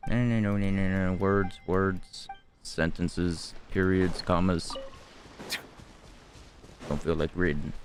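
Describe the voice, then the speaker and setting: A man's voice: sits low, level tone, slow, long pauses, a little hiss or hum. A man giving casual gameplay commentary, blunt and sweary, often talking straight at the game. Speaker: gaming video creator.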